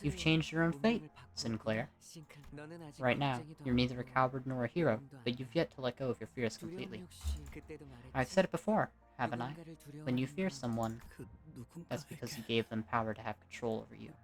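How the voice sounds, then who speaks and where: A performer voicing a calm, airy, cryptic man who speaks with quiet authority. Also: mid-range voice, a little fast, lightly acted. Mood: serene.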